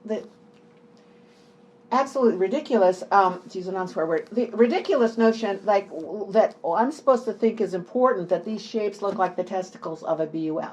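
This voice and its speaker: A woman's voice: fairly high pitch, mellow, highs cut off. An older woman speaking off the cuff as an author at a book talk, informal and digressive, stressing and repeating her words. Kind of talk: unscripted conversational book talk.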